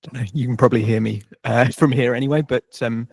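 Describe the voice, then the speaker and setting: A student in the audience, not a native Finnish speaker, asking a tentative question with hesitations. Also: male voice, medium pitch, steady tone, fast talker, vocal fry, darkish tone, husky, no echo.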